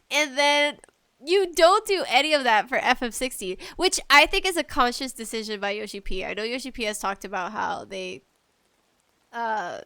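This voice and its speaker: Very high, bright-voiced woman podcaster. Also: clean tone, no echo. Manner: animated ranting with frequent giggles. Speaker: podcast host. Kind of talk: casual opinionated gaming commentary.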